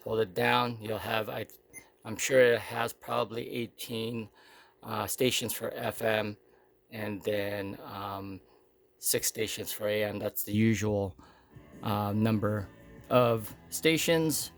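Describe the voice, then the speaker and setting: A man giving a casual, unscripted hands-on demo, explaining plainly in short, halting phrases with pauses between them. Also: on the low side, steady tone, vocal fry. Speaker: product demonstrator.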